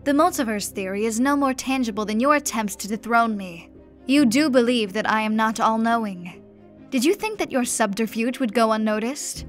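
A woman's voice: on the high side, moderate pace, natural voice. A cold, commanding vampire emperor played by a woman reader pronounces judgment in a raised voice. He hisses his questions with icy authority in a storybook reading, over faint music. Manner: loud, commanding, hissing.

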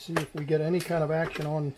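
A middle-aged man gives a casual hands-on tutorial as he works, thinking aloud in an unhurried way. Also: a little high, little pitch movement, a little hiss or hum. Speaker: electronics repair hobbyist and host. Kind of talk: casual hands-on tutorial narration while working. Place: small indoor workbench.

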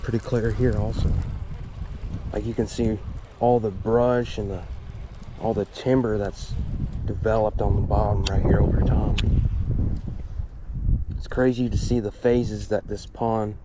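A man's voice: lowish voice, measured pace, long pauses. A young man casually talking through his fishing from a small boat on a backyard pond, filmed on a head-mounted action camera. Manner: relaxed, chatty, pointing out spots. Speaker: fishing vlogger. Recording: outdoor wind noise on action camera.

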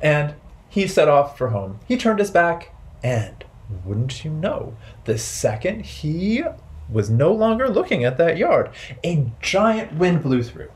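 A man in his thirties telling stories and rhymes to small children as a storytime host, in playful, simple, deliberate phrasing. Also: on the high side. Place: bright indoor room with wooden floor.

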